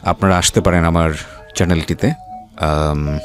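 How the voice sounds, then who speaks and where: A man's voice: low voice, fairly even intonation, moderate pace, music in the background. A man with a Bangladeshi accent hosting a radio interview, talking to his guest and to listeners. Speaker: radio show host.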